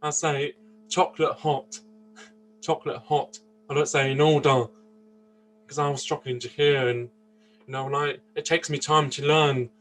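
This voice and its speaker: A man's voice: fairly high pitch, level tone, moderate pace, long pauses, fairly bright tone, soft background music. A young man in his twenties from Austria, answering interview questions earnestly, often restarting and searching for words. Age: 20s. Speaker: interview guest. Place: indoors, seated interview.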